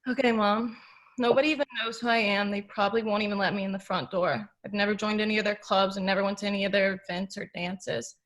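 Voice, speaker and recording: A woman reading the part of a lonely, grieving teenage girl at a table read, talking quietly to the camera. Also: mid-range voice, steady tone, fast, lightly acted, rough recording. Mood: confiding. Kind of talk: table read of a film script.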